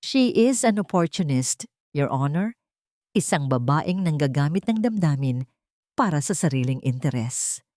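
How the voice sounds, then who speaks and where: A woman's voice: low voice, animated, unhurried, darkish tone, no echo, studio quality. A woman voicing a formal, accusatory male lawyer addressing a judge in an audio drama. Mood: accusing.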